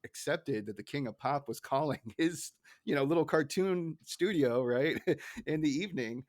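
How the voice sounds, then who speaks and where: A man's voice: fairly high pitch, moderate pace, a little mellow, dry recording, studio quality. A middle-aged man hosting a podcast in a casual, conversational discussion style. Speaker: podcast host.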